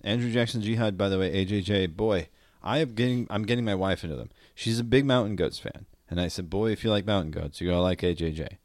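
Deep-voiced man in enthusiastic casual chat. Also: fast, a little mellow, no room sound, clean studio sound. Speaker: podcast co-host. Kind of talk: casual chat about music.

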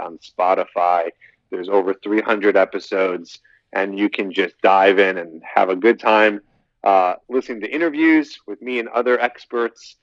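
Man in his thirties, low and dry. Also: no echo. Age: 30s. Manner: talkative, rambling, repeats phrases. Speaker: podcast guest, dating coach. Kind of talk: casual podcast interview. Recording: dull, slightly lo-fi, dry sound.

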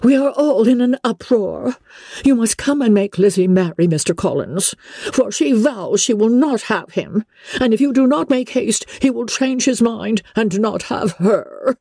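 Woman acting a high, fretful, excitable matron. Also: measured pace, breathy edge, dry recording, studio quality, full character voice. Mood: flustered, urgent. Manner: agitated, exclamatory. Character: fretful, excitable middle-aged wife and mother in period novel. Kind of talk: dramatic audiobook reading of classic literature.

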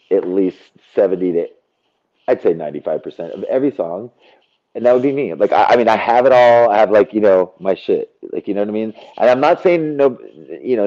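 A middle-aged man with a deep radio voice, a guest musician chatting casually, rambling and swearing freely. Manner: rambling, swears freely, drops into goofy impressions. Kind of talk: casual podcast chat. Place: his home. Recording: dull lo-fi audio.

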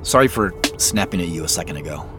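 A man's voice: low-pitched, animated, fast, over loud music. A man acting in an audio drama as a suspicious, on-edge man investigating a mystery, with a dry, guarded manner. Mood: tired, subdued. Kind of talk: naturalistic audio drama dialogue.

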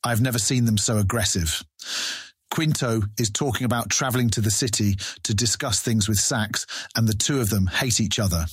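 An actor playing a blunt, suspicious ranger scout in a dramatized fantasy audiobook. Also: male voice, on the low side, moderate pace, husky, no echo, clean studio sound. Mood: uneasy.

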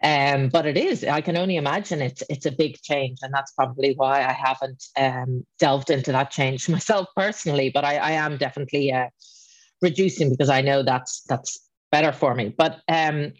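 Low-voiced woman podcast host. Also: steady tone, normal speed, no room sound. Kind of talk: casual interview chat. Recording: dry, slightly dull sound.

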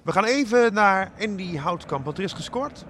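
Radio host guy, pretty high voice, breaking in for a live handover, faint music. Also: lively intonation, normal speed, a bit bright. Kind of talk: live hand-over to a reporter.

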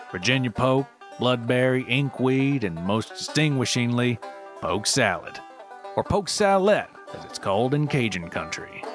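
A man reading scripted mock-documentary narration in a theatrical, mock-serious manner. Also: mid-range voice.

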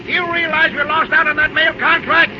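A man's voice: extremely high pitch, level tone, a little fast, crowd noise. An actor playing a scrappy, folksy railroad man with slangy talk in an old-time radio drama. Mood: eager, puzzled. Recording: muffled, noisy old radio recording.

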